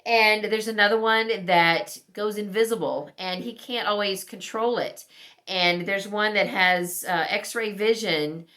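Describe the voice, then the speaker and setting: A woman talking casually as a book vlogger, thinking aloud in halting, conversational asides. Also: medium pitch, bright, forceful tone. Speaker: book vlogger reviewing recent reads. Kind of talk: casual chat to camera.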